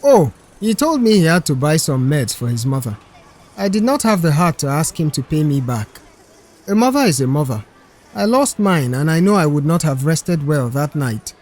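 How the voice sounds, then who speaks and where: Man voicing a modest, kind-hearted garage worker, fairly high. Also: sing-song, moderate pace, a little mellow. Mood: sincere. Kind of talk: audiobook character dialogue.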